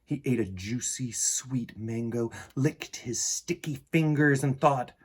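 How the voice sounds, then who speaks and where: A man in his forties with a slightly husky voice, reading and presenting a children's picture book in an expressive, dramatic way. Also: mid-range voice. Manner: animated, dramatic, with deliberate pauses and emphasis. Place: small indoor room in front of bookshelves.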